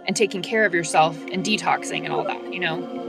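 Low-voiced woman host, music behind. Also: a little fast, gravelly. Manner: supportive short backchannels. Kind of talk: casual chat.

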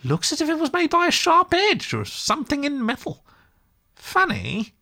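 An excitable male water rat shrieks his cries in a high, shrill voice, as a man puts him on for a storybook. His delivery leaps up and down with impatient energy. Eager and bossy, he keeps urging his friend on. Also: moderate pace, creaky, heavily acted. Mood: kindly. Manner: impatient, triumphant exclamations.

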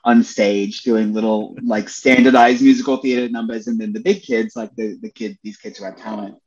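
A young man with a lowish voice, talking eagerly as a podcast guest and peppering his talk with filler words. His audio sounds dull and lo-fi. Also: steady tone, normal speed, a little mellow. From Australia. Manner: chatty and enthusiastic, with many "like" and "you know" fillers. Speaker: podcast guest, Broadway performer. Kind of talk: casual interview chat.

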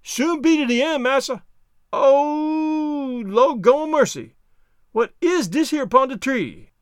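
High-pitched, folksy servant, eager and simple. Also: male voice, expressive, slow pace, no room sound, studio quality, full character voice. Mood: nervous, startled. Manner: folksy nonstandard dialect speech. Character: simple, literal-minded male servant up a tree, eager and obliging.

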